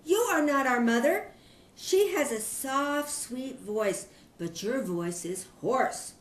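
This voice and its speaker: Woman as a high, insistent little goat kid. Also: lively intonation, slow, reverberant. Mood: defiant. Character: little goat kids, wary, innocent and defiant. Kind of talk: children's storybook reading.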